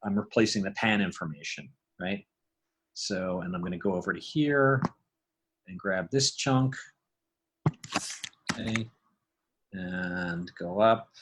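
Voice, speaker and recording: A man narrating a casual software tutorial, informally thinking aloud as he works. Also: low voice, lively intonation, takes it slowly, long pauses. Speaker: podcast creator and sound designer. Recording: background noise, mouse clicking.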